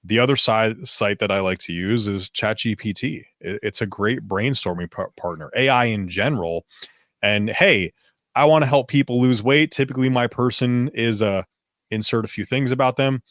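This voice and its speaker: Animated man, low voice, dry sound. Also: normal speed, no room sound. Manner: animated, emphatic, slips into quoted voices. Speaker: podcast co-host, fitness business coach. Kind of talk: casual podcast discussion.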